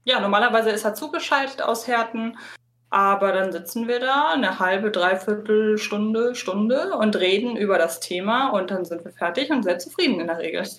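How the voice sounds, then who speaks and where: A woman's voice: mid-range voice, moderate pace. A woman in a casual interview, explaining things at length in long, detailed sentences as a podcast co-host. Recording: roomy, echoey sound.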